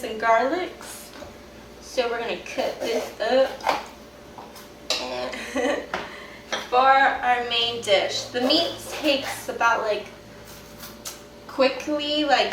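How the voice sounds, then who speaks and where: A young woman in her twenties from Miami chats through a cooking demo, giggling often and taking her time over each word. Her voice sits a little high. She is picked up from a distance in an echoey home kitchen with clear noise behind her. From Miami, Florida. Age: 20s. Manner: bubbly, giggly, chatty. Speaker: cooking show contestant. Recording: echoey room, distant mic, noisy.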